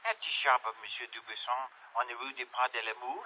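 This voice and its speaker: An actor playing a greedy Parisian underworld informant in an old radio drama, with a French accent and an oily, mock-polite, drawn-out way of haggling. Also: male voice, medium pitch, slight background noise, lightly acted. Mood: informative, smooth. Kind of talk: 1950s radio acting. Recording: narrow, muffled old radio recording.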